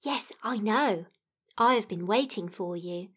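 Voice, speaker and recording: A woman's voice: medium pitch, unhurried, husky. A woman playing an eager, trusting little girl in a dramatized storybook, earnest and childlike. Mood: curious, eager.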